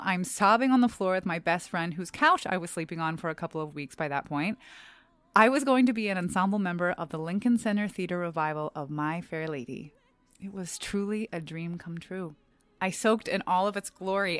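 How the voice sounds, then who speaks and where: Young woman actor reading her own story, lowish voice, earnest n measured, super dry close sound. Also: a bit bright, no echo. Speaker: stage actor and understudy. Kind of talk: scripted personal essay read aloud.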